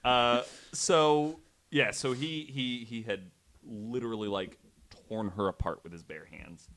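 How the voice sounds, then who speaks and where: A man telling a true story on a podcast in a casual, halting, conversational way, working from notes. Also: medium pitch, fairly bright tone.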